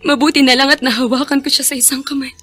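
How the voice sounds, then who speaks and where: A woman's voice: sits high, flat delivery, quick pace, a bit bright. An actress playing a kind, guilt-ridden young woman in a radio drama. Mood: remorseful. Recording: music bed behind the voice.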